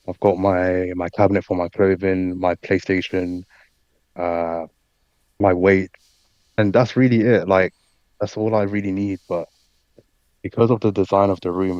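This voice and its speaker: A man who is a guest on a casual online chat, talking in a laid-back, halting way over a dull lo-fi mic. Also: deep voice, darkish tone, no echo.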